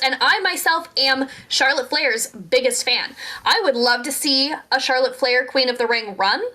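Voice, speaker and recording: A woman's voice: on the high side, bright, forceful tone. A young woman co-hosting a wrestling podcast, talking with emphatic, well-enunciated passion. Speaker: podcast co-host. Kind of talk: casual wrestling podcast chat.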